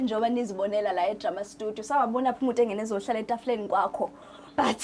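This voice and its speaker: A woman's voice: fairly high pitch, a little mellow, slight background noise. A South African woman, a native Zulu speaker, hosting a conversational interview in a studio. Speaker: TV host interviewing radio drama staff. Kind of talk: conversational magazine-show interviewing. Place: radio drama studio.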